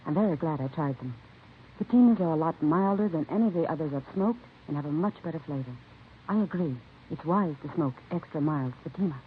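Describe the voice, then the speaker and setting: A woman giving a measured, scripted testimonial in a 1950s radio advertisement. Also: deep voice, animated. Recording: tinny, faintly noisy vintage radio recording.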